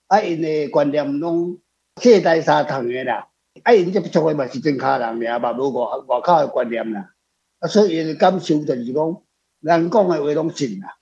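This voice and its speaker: A man's voice: a little high, lively intonation, moderate pace, gravelly, a little mellow. An older man with a Taiwanese accent talking casually as a guest author, rambling and adding frequent tag particles. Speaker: author answering readers as a guest. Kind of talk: casual spoken discussion. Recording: slightly dull, lo-fi sound.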